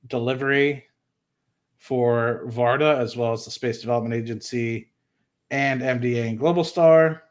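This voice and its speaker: Male host, medium-pitched voice, casual live commentary, reading and reacting in an informal thinking-aloud way. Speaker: host of an investing livestream.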